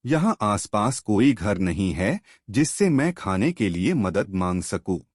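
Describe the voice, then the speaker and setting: A man's voice: lowish voice, big pitch swings, a little slow, no echo, studio quality. A man voicing a weary, courteous wayfarer in a moral story, pleading for a share of food. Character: weary, polite male wayfarer asking for food.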